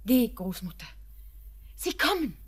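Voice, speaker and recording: High-voiced, dreamy young granddaughter. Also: female voice, big pitch swings, a little slow, husky, full character voice. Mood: eager. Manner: dreamy, lyrical. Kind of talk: poetic German radio play acting.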